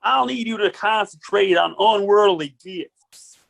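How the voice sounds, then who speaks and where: Woman doing solemn stern exorcist, radio play, real slow n measured, croaky voice. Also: mid-range voice. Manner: measured, deliberate. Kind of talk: amateur radio play acting.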